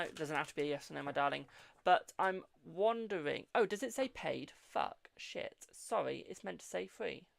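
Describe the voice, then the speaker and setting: Gravelly-voiced man reading tarot cards. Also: sits high, a bit bright. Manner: chatty and reassuring, calls viewers "my darling". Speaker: tarot reader hosting a live stream. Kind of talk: live-stream card reading, answering viewer questions.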